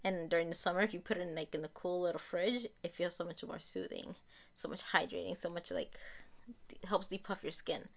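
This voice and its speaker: A young woman with a fairly low voice, a bit raspy from a lingering cold. She chats casually through her used-up beauty products, often repeating and correcting herself as she goes. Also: steady tone, a little fast. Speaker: beauty vlogger.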